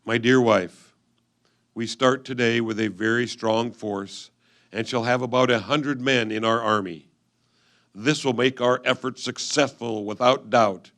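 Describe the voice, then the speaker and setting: A man reading old letters aloud in a reader's theatre style, with a solemn, measured delivery. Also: medium pitch, fairly bright tone.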